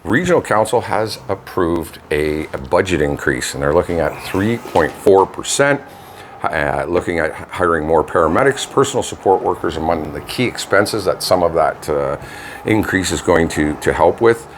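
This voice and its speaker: Low-voiced, laid-back Canadian man. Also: expressive, normal speed. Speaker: co-host of a local online radio vlog. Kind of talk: casual chat with local news reading. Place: home studio. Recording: noisy home studio with background ambience.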